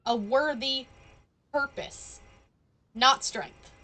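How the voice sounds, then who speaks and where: A woman live streamer giving playful, deliberate, mock-solemn commentary over a video game. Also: high voice, sharp tone.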